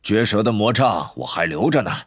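A man playing a big, bashful gamekeeper in a storybook, halting, mumbled and deferential. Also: fairly low pitch, animated, husky, no room sound, studio quality. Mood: cheerful. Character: big, bashful male gamekeeper, awkward and evasive.

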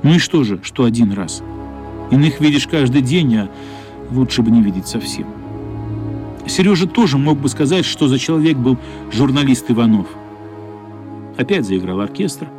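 A man's voice: medium pitch, animated, moderate pace, pauses often. A man narrating a literary story for a radio play, as a scripted narrator. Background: faint music.

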